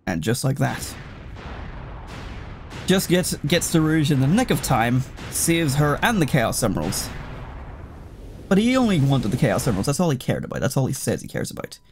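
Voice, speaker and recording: A man's voice: mid-range voice, very animated, normal speed, pauses often, slight background noise. A man giving casual, rambling game commentary, chatting to the game as he plays. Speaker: let's player commentating a game. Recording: loud game music behind voice. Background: video game music.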